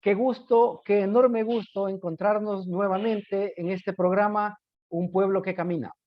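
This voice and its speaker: A man's voice: sits high, fairly even intonation, measured pace, dry recording, lo-fi sound. A man with an Ecuadorian accent hosts a radio program, presenting and interviewing in a formal, courteous manner. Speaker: radio host.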